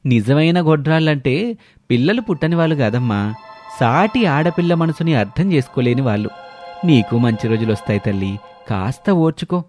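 A man's voice: medium pitch, lively intonation, moderate pace, a little mellow, soft background music, lightly acted. A man voicing a kindly, consoling temple priest with a fatherly manner in a narrated story.

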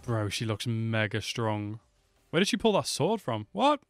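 A man's voice: fairly low pitch, sing-song, unhurried, dry recording. Man in his twenties, casual reactions, slangy, dry close mic, faint music behind. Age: 20s. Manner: slangy, offhand. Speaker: reaction video host. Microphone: close desk microphone.

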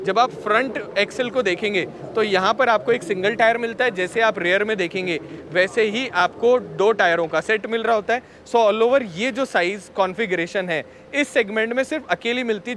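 A man's voice: high-pitched, fairly quick, fairly bright tone, a little hiss or hum. A man presenting a vehicle walkaround in a chatty way, talking to his viewers, recorded outdoors at an expo. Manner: chatty, addresses viewers as friends. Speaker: vehicle reviewer.

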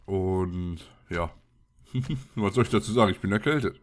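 A man's voice: deep voice, measured pace. A man with a cold and a scratchy throat, giving casual, colloquial gameplay commentary as he mutters to himself. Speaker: let's play host.

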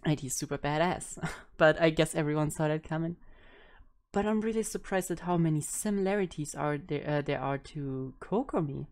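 Low-voiced young woman, casual reaction commentary. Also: moderate pace, a little mellow. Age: young adult. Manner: informal, hesitant, thinking aloud. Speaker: reaction video host and streamer. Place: indoor room at home. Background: music.

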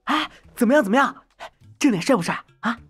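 A woman playing a cheeky, excitable younger sibling in an audio drama, teasing, chatty and exclamatory. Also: a little high, a little fast, breathy edge, faint music behind. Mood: nosy, teasing.

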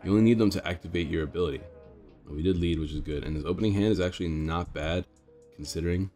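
A man's voice: deep voice, fast, warm tone, faint music behind. A young man with a stuffed-up nose casually explaining his card deck to viewers, rambling and talking to them as guys. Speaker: card game streamer. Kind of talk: casual deck tech commentary.

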